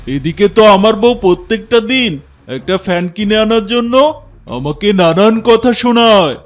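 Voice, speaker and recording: A man's voice: high voice, animated, a little slow. A man voicing a sly, wicked thieving tiger in a fairy-tale cartoon. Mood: scheming.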